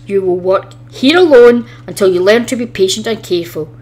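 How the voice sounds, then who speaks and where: A woman's voice: medium pitch, brisk, a little mellow, close to an everyday voice. A woman voicing a stern male railway boss in a children's storybook, formal and scolding.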